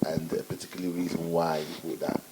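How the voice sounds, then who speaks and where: A man's voice: low voice, little pitch movement, fairly quick, background noise. A man hosting an interview, asking long, rambling questions full of fillers like "you know" and "obviously". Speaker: interview host.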